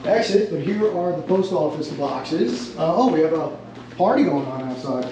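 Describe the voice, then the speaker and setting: A man's voice: on the high side, expressive, normal speed, mellow, rough recording. A middle-aged man from Long Island, New York, chatting casually as a talkative, polite and emphatic vlog host. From Long Island, New York, USA. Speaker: host of civil-rights audit vlog. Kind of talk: casual chat with people he meets. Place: post office and its outdoor car park. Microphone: camera rig held at chest or face. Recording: clear outdoor and background noise.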